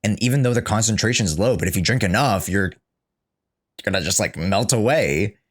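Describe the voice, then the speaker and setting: A man's voice: on the low side, animated, moderate pace. A young man chatting casually as a livestreamer, reacting to a game story in an informal, joking, sweary way. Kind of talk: casual livestream chat and commentary.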